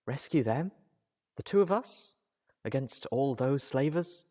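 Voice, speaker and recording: A man voicing a poised, cool-headed female pony in a story reading, speaking in a measured and gently reproving way. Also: medium pitch, lively intonation, pitched above the performer's own voice. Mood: pained, resigned.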